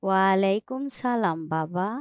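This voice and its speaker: A woman voicing a devout, calm, caring mother in an Islamic cartoon, with a soothing and reverent manner. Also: medium pitch, a little slow, clean tone, dry recording, lightly acted. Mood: caring, reassuring. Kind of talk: scripted Islamic cartoon dialogue.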